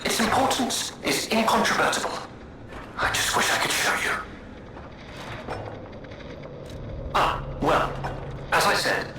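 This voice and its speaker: A vain, theatrical alchemist from a fantasy game. His voice is on the high side and swoops expressively, full of flattery and playful self-pity. It rings with heavy echo over loud background noise. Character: vain, theatrical, charming male alchemist speaking through puppets. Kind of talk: dramatic video game dialogue.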